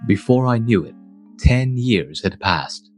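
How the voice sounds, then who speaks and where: A man playing a mild, wistful adult guardian, narrating a manga dub in a measured, reflective way. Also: mid-range voice, lively intonation, darkish tone, faint music behind. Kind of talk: scripted first-person manga dub narration.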